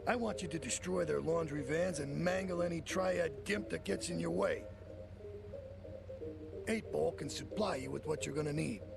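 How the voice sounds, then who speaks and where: An actor playing a tough, short-tempered Italian-American mafia capo with an American accent, bossy and blunt, in a video game. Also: male voice, fairly high pitch, moderate pace, gravelly, music in the background. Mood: angry, commanding. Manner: bossy, blunt wise-guy talk. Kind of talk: video game cutscene acting.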